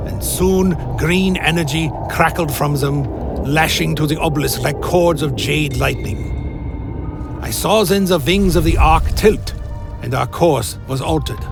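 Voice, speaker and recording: A gravelly man playing a damned gothic hero, with a slightly high-set voice for a male. He tells his tale solemnly and theatrically, in the manner of a dark fantasy radio play, with music underneath. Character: Alaric the Damned, cursed blood-bound male servant of a lich. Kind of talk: dramatic first-person radio play narration.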